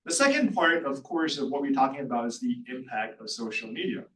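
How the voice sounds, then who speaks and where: A man's voice: mid-range voice, lively intonation, a little fast, fairly bright tone. A man from Singapore with a Singaporean accent, speaking on a panel and often checking in with "right?". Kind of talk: panel discussion talk. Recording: roomy hall, distant mic, faint noise.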